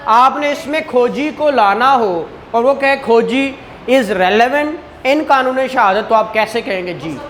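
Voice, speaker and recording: A Pakistani-accented woman teaches in a lecture style with a fairly high voice. She explains conversationally, putting questions to her listeners and slipping into English terms. There is light noise behind her. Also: takes it slowly. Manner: conversational, frequent rhetorical questions and acted-out dialogue; code-switches into English terms. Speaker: law lecturer. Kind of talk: classroom lecture with questions to students.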